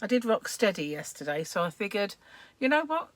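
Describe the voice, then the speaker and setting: A woman hosting a crochet livestream, chatting casually and greeting viewers by name, her voice croaky today with frequent throat clearing. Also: medium pitch, big pitch swings, fairly quick, no room sound. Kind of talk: casual live-stream chat while crocheting.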